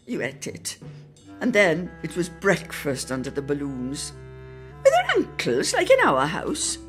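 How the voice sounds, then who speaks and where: Woman giving a highly animated dramatic reading. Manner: theatrical, expressive delivery with drawn-out emphasis. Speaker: reader in a radio players' production. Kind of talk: dramatic literary reading of a Christmas reminiscence.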